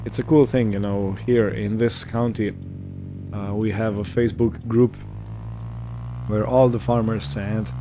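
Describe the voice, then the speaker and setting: Slow-talking man with a low voice. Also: little pitch movement, background noise. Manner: hesitant, rambling, unhurried. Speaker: gaming video host. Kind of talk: casual roleplay gameplay commentary.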